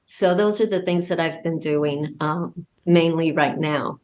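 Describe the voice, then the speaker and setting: Woman, slightly low voice, casual interview chat, hesitant, wandering delivery, voice actor guest. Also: measured pace, a little mellow, slight background noise.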